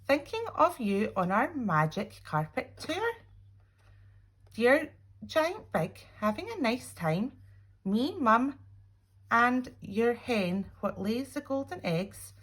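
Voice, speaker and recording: A woman's voice: a little high, sing-song, slow, fairly bright tone, husky, exaggerated voice. A woman reading aloud as a cheeky, boastful little boy from a storybook, chatty and colloquial.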